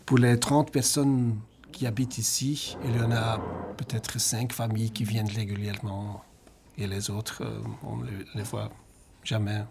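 A man's voice: lowish voice, flat delivery, moderate pace, creaky, background noise. A man on the staff of a care home, relaying residents' answers in a plain, explanatory way with hesitant fillers. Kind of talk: interpreting between French and Dutch.

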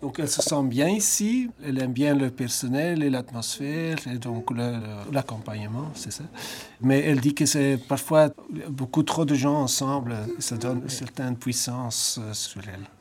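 A man's voice: medium pitch, moderate pace, a little mellow. A man on the staff of a care home, relaying residents' answers in a plain, explanatory way with hesitant fillers. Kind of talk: interpreting between French and Dutch.

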